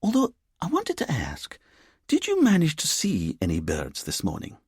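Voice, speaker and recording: A man's voice: a little high, wildly swooping pitch, normal speed, slightly rough, no echo, clean studio sound, voice pushed up, exaggerated voice. A man voicing a playful, self-assured woman in her forties with a lilting Edinburgh accent, for an audiobook. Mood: amused.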